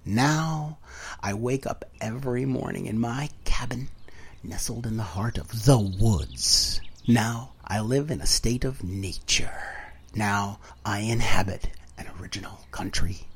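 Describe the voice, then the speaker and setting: A man narrating a literary audiobook in a wry, dramatic, deliberately phrased way, moving between emphatic and hushed delivery. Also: fairly low pitch, slightly rough.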